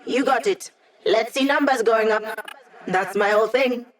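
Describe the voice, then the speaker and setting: A woman's voice: on the high side, normal speed, lightly acted. An actress voicing a witty young female hacker in a video game, a digitized mind who helps a squad with breezy, wry, techy teasing. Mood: focused, eager. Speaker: mission support character in a video game.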